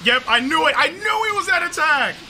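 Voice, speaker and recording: A young man hosting a gaming video, reacting to a trailer with hype, slang and yelling, speaking on a headset in a small home gaming room. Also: very high-pitched, sing-song, a little fast, slight background noise. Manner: hyped, yelling and laughing, slang-heavy. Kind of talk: excited gameplay reaction.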